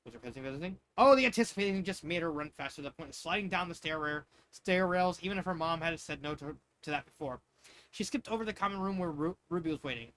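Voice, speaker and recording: A man reading fan fiction aloud as an amateur narrator, expressive and sometimes stumbling over his words. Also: on the high side, fast talker, poor audio. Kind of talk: amateur storybook narration with asides.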